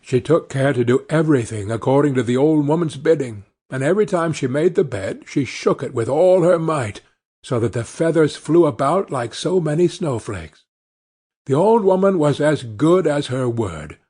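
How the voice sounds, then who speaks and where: A man's voice: a little high, lively intonation, normal speed, mellow, slightly rough. A man reading a scripted fairy tale as narrator, in storytelling style.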